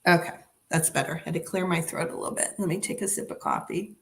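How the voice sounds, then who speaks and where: A woman hosting a casual live craft chat from her home, slightly hoarse and rambling with many fillers and restarts. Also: lowish voice, quick pace. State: slightly hoarse, froggy throat. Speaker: craft channel host. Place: home dining room craft table.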